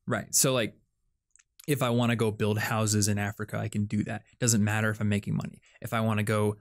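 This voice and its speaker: A man's voice: on the low side, level tone, brisk. A young man, hosting a casual podcast chat and explaining ideas with plenty of fillers. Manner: chatty, explains things with lots of "like" and "um". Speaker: podcast host.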